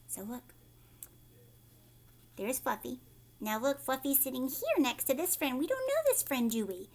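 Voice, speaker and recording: A woman with a high voice reads a picture book to young children. Her delivery swings up and down expressively, and she steps out of the story to put questions to her listeners like a classroom teacher. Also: sing-song, unhurried, slight background noise. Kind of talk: read-aloud storytelling with asides to young listeners.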